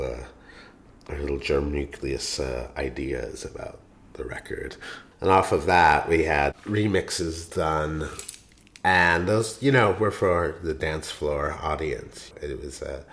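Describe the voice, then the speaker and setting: A man with an extremely deep, low voice talks about his music career. He rambles a little, filling his story with asides, and speaks in an animated way. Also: measured pace, vocal fry. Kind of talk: interview storytelling.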